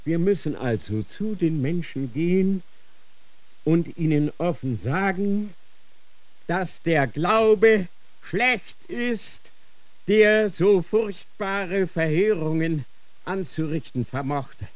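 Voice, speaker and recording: A dying old Grand Inquisitor dictates his last decree in a radio play. He is feverish and repentant, his voice set a little high, and he speaks very slowly and solemnly. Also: animated, dry recording. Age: older. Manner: slow, solemn dictating with sudden feverish outbursts. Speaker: actor in a radio drama. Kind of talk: radio drama acting.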